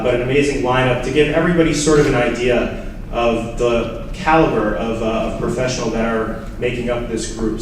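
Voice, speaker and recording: Man, formal welcoming remarks, measured with fillers, very echoey hall, distant mic, room noise. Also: medium pitch. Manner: measured, with frequent fillers and restarts. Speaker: program organizer introducing visitors. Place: university lecture hall.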